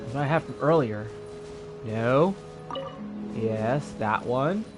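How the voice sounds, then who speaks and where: A man's voice: medium pitch, animated, takes it slowly. A tired man giving casual livestream gameplay commentary, slangy, with mild swearing and muttered asides. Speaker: game streamer. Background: game music.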